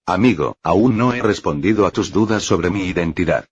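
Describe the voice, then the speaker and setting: Man reading as a calm wise alien woman, soft and measured, deep husky tone, dull dry lo-fi sound. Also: no echo. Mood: serene. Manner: soft, measured, kindly speech. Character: gentle female alien healer, calm and wise.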